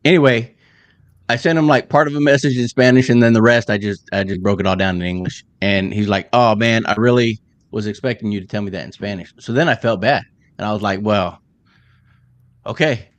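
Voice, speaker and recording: A man in casual conversation, telling an anecdote in a relaxed, easygoing way. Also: on the low side, fairly quick, no echo.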